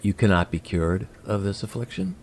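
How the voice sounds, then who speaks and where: A man playing a polite, curious alien emissary in an audio drama, with a gravelly voice and formal, measured, precise speech. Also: fairly low pitch, big pitch swings, a little mellow, a little hiss or hum. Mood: earnest, measured.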